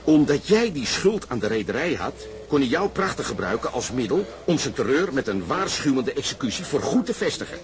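An actor playing a fervent socialist sailor who lectures insistently and persuasively with emphatic questions, in a Dutch radio drama. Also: male voice, medium pitch, sing-song, brisk, lightly acted. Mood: urgent, persuasive.

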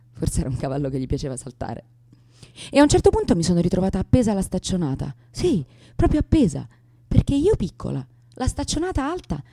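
A woman's voice: on the low side, warm tone, no room sound. An actress playing a wounded, intense, unstable woman in a theatrical radio monologue, with abrupt swings and rhetorical questions. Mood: animated recollection.

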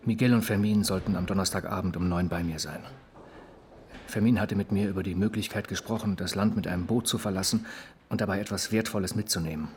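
An actor playing a lovesick, broken murder suspect, confessing in a halting, subdued way in a crime radio drama. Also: male voice, low voice, fairly even intonation, darkish tone, slight background noise, lightly acted.

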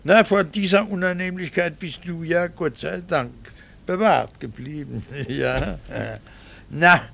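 A middle-aged Viennese doctor in a classic radio drama. His fairly high voice has a lot of creak, and he speaks with dry, resentful irony. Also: slow pace, sharp tone, full character voice. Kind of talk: 1960s radio drama acting.

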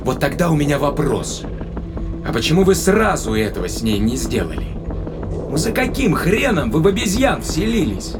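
A man's voice: on the high side, big pitch swings, moderate pace. A man acting a skeptical, defiant spaceship crewman in a science-fiction audio thriller, speaking in a probing, sardonic way. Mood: exasperated. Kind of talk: dramatized sci-fi thriller audio play. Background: dramatic music.

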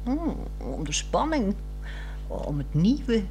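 A woman's voice: mid-range voice, very animated, slow pace, mellow. A woman acting a yearning, coaxing wife who speaks frankly in a 1960s radio drama. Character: restless, yearning wife, coaxing and frank, craving new romance. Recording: 1960s radio play, music behind.

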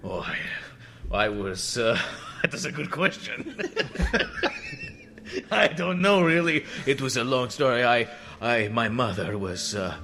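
A man's voice: medium pitch, lively intonation, measured pace, sharp tone, voice pushed down. A man voicing a proud, bewildered Spanish-speaking swordsman in a hammy mock accent, breaking into giggles. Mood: rambling.

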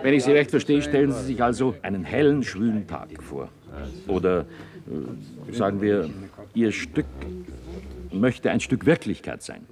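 An actor playing an attentive, drily literary studio man in a radio play, measured and articulate. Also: lowish voice, lively intonation, a crowd in the background. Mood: attentive, questioning.

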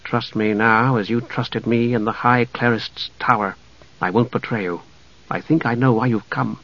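A man voicing a steady, authoritative half-elf peacemaker in a fantasy audiobook, measured, persuasive and protective. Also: high-pitched, highs cut off, voice pushed up, close to an everyday voice. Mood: low, reassuring.

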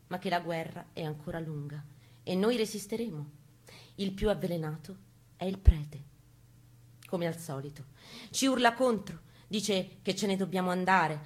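A woman reading a first-person narrative aloud in an intense, theatrical, emphatic way. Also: fairly low pitch. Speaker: stage reader and narrator. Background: music accompaniment, guitar.

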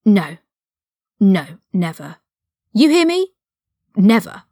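A woman's voice: mid-range voice, animated, brisk, no room sound, studio quality, lightly acted. A woman voicing a hot-headed, loyal eighteen-year-old male wizard prisoner with blunt, indignant, informal speech in a story reading. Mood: outraged.

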